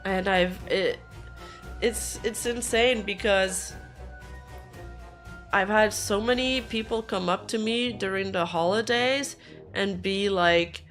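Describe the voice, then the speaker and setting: A hoarse woman streamer chatting casually and rambling with her viewers, laughing easily. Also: mid-range voice, takes it slowly, long pauses, fairly bright tone, faint music behind. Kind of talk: casual livestream chat with viewers.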